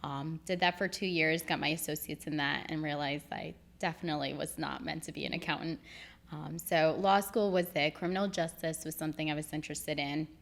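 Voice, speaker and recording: A woman's voice: low-pitched, a little fast, creaky. A woman in her thirties, born in Egypt, a real estate broker talking casually as a podcast guest, with plenty of "um"s as she recounts her path. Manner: relaxed storytelling with frequent "um". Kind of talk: casual interview.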